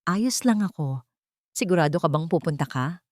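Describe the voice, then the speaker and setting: A woman's voice: fairly low pitch, expressive, normal speed, darkish tone, no echo, lightly acted. A female storyteller plays a deceitful young man in an audiobook drama. He is nervous and evasive, hesitating and soothing to cover himself. The recording is very dry. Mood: nervous, placating. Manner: hesitant, placating, making excuses.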